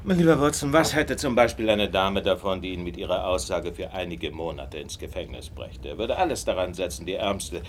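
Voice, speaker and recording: Suave gentleman detective in a radio play, low voice, really animated, articulate and a bit condescending. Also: a little hiss or hum. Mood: explanatory, incisive. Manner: measured, articulate, courteously condescending. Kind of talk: German radio crime drama acting.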